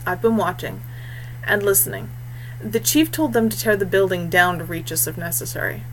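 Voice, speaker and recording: A woman voicing a calm, practical young male warrior with a wry streak, a loyal brother in a fantasy story. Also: on the low side, normal speed, slight background noise, poor audio.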